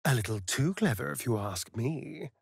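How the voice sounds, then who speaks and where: A man with an English accent playing a sardonic, vain elven vampire rogue in a video game, arch, mocking and theatrical, with a breathy voice. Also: medium pitch, expressive, normal speed, dry recording, clean studio sound. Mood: wry. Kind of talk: video game character voice lines, theatrical delivery.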